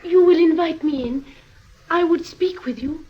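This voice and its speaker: An actress playing a small, very young femme fatale, coolly self-assured and formally deliberate, in an old radio drama. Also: female voice, very high-pitched. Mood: cool, alluring. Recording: dull old radio recording.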